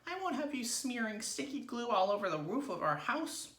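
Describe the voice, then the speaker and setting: Bloke doing a screechy nasty wife, storybook villainess, way up high, scolding, echoey. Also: male voice, very animated, normal speed, bright, forceful tone, heavily acted. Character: nasty, shrill married woman, scolding and gleeful. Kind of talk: dramatised children's storybook reading.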